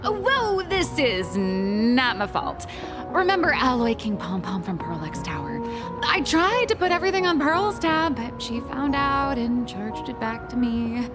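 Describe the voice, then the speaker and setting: Cheeky, higher-pitched young woman trickster. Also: very animated, unhurried, music in the background. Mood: whiny, defensive. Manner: playful, theatrical, glib. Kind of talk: video game voice acting.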